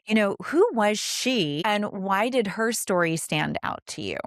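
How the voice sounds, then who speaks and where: A woman's voice: medium pitch, animated, measured pace, dry recording, studio quality. A woman hosting a conversational interview, speaking earnestly and affirming her guest. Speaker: podcast host interviewing a guest.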